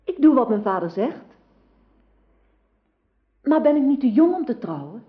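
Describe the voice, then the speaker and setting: An actress playing an innocent, obedient young princess in a radio play, demure and naive in manner. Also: female voice, sits high, big pitch swings, measured pace. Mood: shy, obedient. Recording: dull old radio drama recording.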